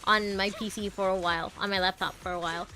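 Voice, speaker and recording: A chatty woman livestreams a game with casual, wandering commentary. Her voice is medium in pitch and giggly, with faint game music behind it. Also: steady tone, quick pace, bright, forceful tone. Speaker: game streamer.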